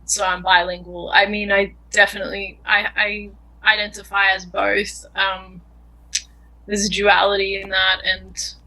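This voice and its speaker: A woman's voice: mid-range voice, flat delivery, unhurried, sharp tone, low-quality recording. A young woman filmmaker answering interview questions in an earnest, thoughtful way, often searching for words. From Australia.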